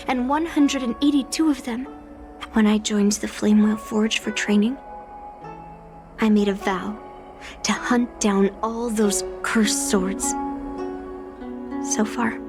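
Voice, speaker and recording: An actress plays a young swordswoman in a game story, high-voiced and very unhurried. She is earnest and resolute, haunted by old trauma, and weighs her words with solemn pauses. Music plays prominently behind her. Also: lightly acted. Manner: measured, solemn, dramatic pauses. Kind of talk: dramatic video game story dialogue.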